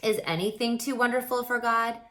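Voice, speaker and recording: A woman voicing a gentle, glad male angel in a storybook, speaking in a reassuring, deliberate way. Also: a little high, fairly even intonation, lightly acted.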